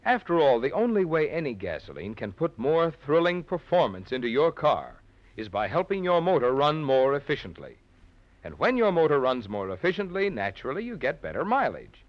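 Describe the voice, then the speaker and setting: 1940s radio ad guy, punchy salesman delivery, pitch moves a lot, dull lo-fi sound. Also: very animated, moderate pace. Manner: polished, emphatic, persuasive. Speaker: radio announcer. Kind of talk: 1940s radio advertising announcement. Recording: muffled old radio recording.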